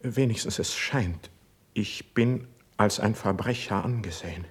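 A proud, wounded poet declaims solemn verse in a classical radio play. The voice is husky and fairly low, very slow and halting, weighted with feeling. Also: male voice. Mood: bitter resignation. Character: proud, wounded poet submitting bitterly to his prince.

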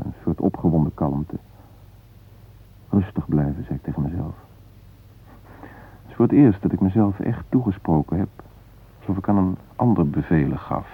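An actor playing a shaken former hostage, retelling a brush with death in an intense, introspective radio drama monologue. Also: male voice, low-pitched, gravelly, breathy edge, heavily acted. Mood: agitated, controlled. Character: shaken male former train hostage recounting facing death. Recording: muffled, narrow-band old radio recording.

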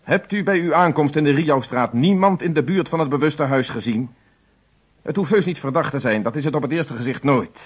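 Stern, insistent police inspector, fuzzy recording. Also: male voice, fairly high pitch, brisk, breathy edge. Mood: stern, pressing. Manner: insistent, formal, authoritative. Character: stern, probing police inspector leading an interrogation. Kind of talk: radio play acting. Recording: dull, fuzzy old radio recording.

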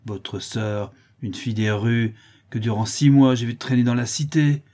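A man voicing a rough, bitter peasant milkmaid of forty in a storybook reading, coarse and accusing. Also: mid-range voice, unhurried, dark tone, natural voice. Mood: scornful.

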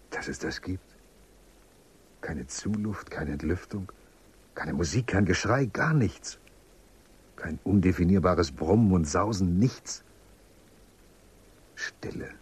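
A man playing a sensitive, excitable newcomer in a German radio drama, marvelling in halting phrases that can drop to a hush. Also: low-pitched, breathy edge, heavily acted. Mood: hushed wonder. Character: awestruck male newcomer on a first trip outside, sensitive and excitable.

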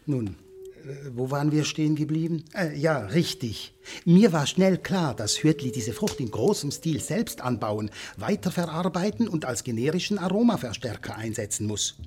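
An actor playing a ruthless, polite businessman villain in a German radio drama, speaking with measured, pompous courtesy that veils a threat. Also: a little high, lively intonation, darkish tone, natural voice. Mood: smugly explanatory. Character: suave ruthless businessman villain, polite, menacing, scientifically zealous. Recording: studio radio drama with music behind.

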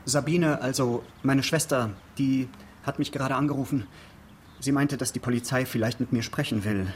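A man's voice: mid-range voice, steady tone, moderate pace, slight background noise, close to an everyday voice. An actor playing a fussy, eager busybody of a male neighbour in a radio crime drama, precise, hesitant and mildly pompous. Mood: tentative. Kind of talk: naturalistic German radio crime drama acting.

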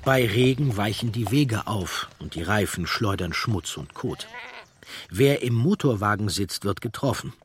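A man reading scripted documentary narration with measured, storytelling delivery and a husky voice. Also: fairly low pitch, no echo, clean studio sound.

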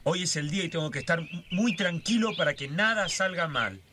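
A man's voice: fairly high pitch, animated, fairly quick, pushed and bright, a little hiss or hum. An actor playing a nervous, obsessive young man from the neighborhood in a radio drama, muttering and repeating himself with a Buenos Aires accent. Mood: nervous, determined. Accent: Argentine, Buenos Aires.

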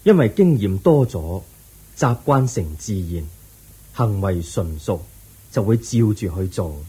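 A man voicing a wise, patient Buddha in a radio drama, explaining teachings calmly in a husky voice. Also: on the low side, a little slow, poor audio. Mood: serene. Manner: calm, patient, instructive. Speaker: narrator and lead actor.

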